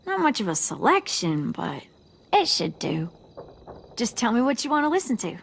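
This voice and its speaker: A woman's voice: fairly high pitch, big pitch swings, moderate pace, gravelly. A woman voicing an eager, encouraging teenage girl in a video game, talking casually as if thinking aloud. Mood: casual, practical. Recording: faint background noise, night crickets.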